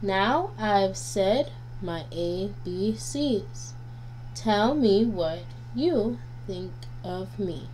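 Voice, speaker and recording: A woman reads nursery rhymes to young children at a very slow pace. Her mid-pitched voice has a deliberate, sing-song delivery, with a faint hum in the home recording. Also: lively intonation, a little mellow, rough recording. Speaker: guest storybook reader.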